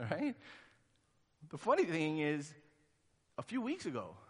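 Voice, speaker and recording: A pastor originally from Honduras preaches in a loose, conversational style and slips into the voices of the people he quotes. His voice sits a little high for a man and swings widely in pitch. A long hall reverb trails after his words. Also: wildly swooping pitch, takes it slowly, breathy edge. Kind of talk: sermon.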